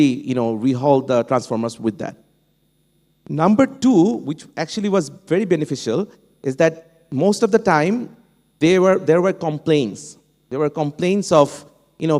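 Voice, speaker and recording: A man from Bangladesh, a native Bangla speaker with a Bangladeshi accent, speaking on a panel in a halting way, with many fillers and restarts. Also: on the high side, a little mellow. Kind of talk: unscripted panel talk. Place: conference hall. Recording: long hall reverb.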